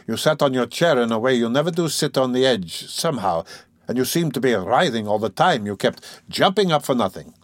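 Man as scheming young man, irritable, sardonic, brooding, medium pitch, classic-novel reading, dry sound. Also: dry recording, natural voice. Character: irritable, scheming young former law student, mocking and anxious.

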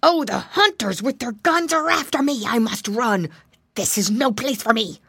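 A bad bullying alligator from a children's storybook, acted out by a woman, hungry and snappish. The delivery swings up and down in pitch, with gloating exclamations, in a dry, close recording. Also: lively intonation, moderate pace, gravelly, bright, forceful tone, slightly rough, no room sound, full character voice. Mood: alarmed.

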